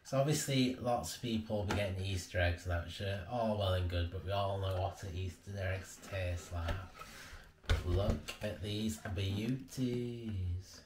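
A man's voice: low voice, steady tone, unhurried, noisy background. A man, casually describing food to camera. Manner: easygoing, descriptive. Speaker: vlog co-host. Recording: roomy echo, faint ambience.

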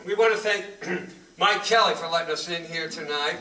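A man who leads a music act, talking to listeners between acoustic songs with halting pauses, recorded live from a distance in a gallery. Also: a little high, pushed and bright. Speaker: singer-songwriter and bandleader. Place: art gallery, live performance. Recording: echoey live gallery performance from far off.

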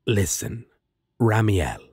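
A man's voice: lowish voice, sing-song, slow, a little mellow, breathy edge, no room sound, studio quality, full character voice. A man playing a sly, laid-back demon roommate in an audio drama, teasing and sardonic with a coaxing drawl. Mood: placating. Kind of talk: roleplay audio drama acting.

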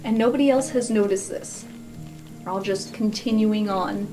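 A woman's voice: mid-range voice, moderate pace, warm tone, poor audio. A woman running an improvised tabletop game, narrating scenes as game master. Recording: music playing behind the voice. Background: music.

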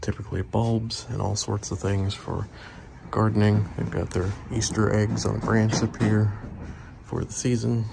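Casting note: man, low gravelly voice, relaxed chatty vlog narrator on a phone in a busy shop. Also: normal speed. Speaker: antique booth owner touring a mall. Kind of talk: casual walk-through vlog narration. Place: large indoor antique mall. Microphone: handheld phone camera. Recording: shop noise behind voice. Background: shop ambience.

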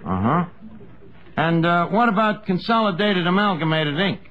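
Bluffing, lovelorn New barkeep, 1950s radio sitcom, animated, noisy vintage recording. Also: male voice, sits high, normal speed, phone-quality sound. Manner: ungrammatical streetwise malapropisms. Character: scheming lovelorn tavern manager, boastful, bluffing man.

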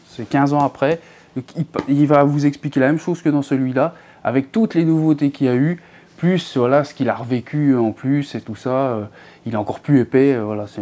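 A man's voice: medium pitch, dark tone. A man in his thirties hosting a fishing channel, chatting casually to viewers from an armchair at home, rambling with many fillers and restarts. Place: living room at home, seated in an armchair.

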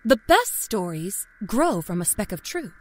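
A woman playing a sly, folksy small-town Southern woman, a self-confessed liar confiding her dark life story in a wry dramatic narration. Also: mid-range voice, very animated, a little slow. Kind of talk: dramatic first-person horror story narration. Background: musical score.

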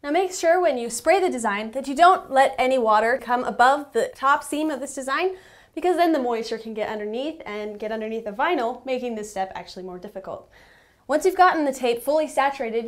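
A young woman with a fairly high voice presents an instructional video. She explains each step in a measured way, like a practical tutorial guide. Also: animated. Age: young adult.

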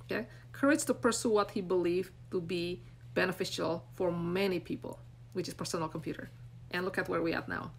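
A woman's voice: fairly low pitch, fairly even intonation, fairly quick, creaky, slight background noise. A woman in her forties from Indonesia with an Indonesian accent, speaking on a live stream from her phone in a small room, rambling and earnest. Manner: rambling, filler-heavy, earnest and encouraging. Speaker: brand coach hosting a live stream. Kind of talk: unscripted live-stream talk to viewers. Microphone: phone camera at arm's length.

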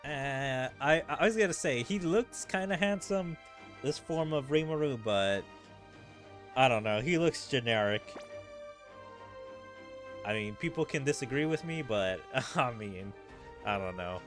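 A man comments casually on a game he is streaming. His mid-pitched voice moves around a lot, and music plays underneath. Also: animated, unhurried, long pauses, a bit bright. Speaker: gaming streamer.

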